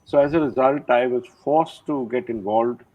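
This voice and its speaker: Older general on a thin call line. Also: male voice, mid-range voice, no room sound. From India. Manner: measured, reflective, many "uh" pauses. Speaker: retired army general, guest. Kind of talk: interview conversation. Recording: thin phone-like line, dry.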